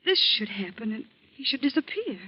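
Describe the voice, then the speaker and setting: A woman's voice: on the high side, big pitch swings, brisk, husky. A woman playing an anxious, suspicious young Viennese woman in a 1940s radio drama, speaking in an urgent, emotional, theatrical way. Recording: dull lo-fi 1940s radio recording.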